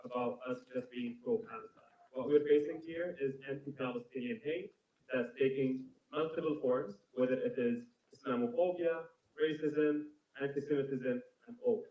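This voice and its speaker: A young man from Syria speaks at a press conference in a measured, earnest way, sometimes repeating words as he searches for them. His voice sits in the middle of the male range. The audio is echoey and thin like a phone line. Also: mid-range voice. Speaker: student protester at a press conference.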